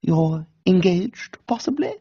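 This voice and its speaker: A man voicing an amiable, foppish young gentleman with an upper-class English accent, chirpy and easily flustered, in a comic reading. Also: high voice, big pitch swings, slow pace, slightly rough, highs cut off, pitched above the performer's own voice, heavily acted. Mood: inquisitive. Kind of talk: dramatised comic audiobook reading.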